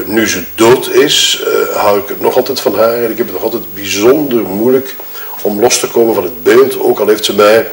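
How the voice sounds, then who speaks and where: A man acts out a wronged Flemish husband in an audio drama, justifying himself at length. His voice sits on the low side and rings in a roomy space. He picks formal words, hesitates and corrects himself as he testifies. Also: creaky. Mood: bitter, grieving. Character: wronged, self-justifying widowed husband giving his statement. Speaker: actor in an audio murder-mystery game. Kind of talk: acted improvised-sounding testimony. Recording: roomy echo.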